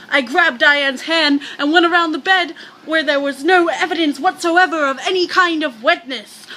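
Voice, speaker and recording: A woman reading a scary story aloud in a theatrical, halting, playful amateur way, projecting her voice. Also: high voice, slight background noise, low-quality recording. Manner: projecting loudly.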